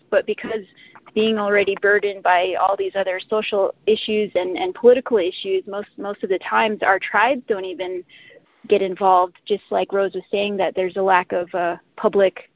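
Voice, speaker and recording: A woman speaking earnestly as a panelist on a telebriefing, pausing and restarting often. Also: mid-range voice, gravelly, no echo. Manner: earnest, halting with frequent restarts. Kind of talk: speaking on a conference call. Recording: muffled phone-line audio.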